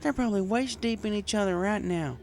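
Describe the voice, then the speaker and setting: Man voicing a high-pitched, folksy farm pony girl. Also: a little fast, creaky. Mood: upset. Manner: folksy, plain-spoken. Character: heartbroken young female farm pony drowning sorrows.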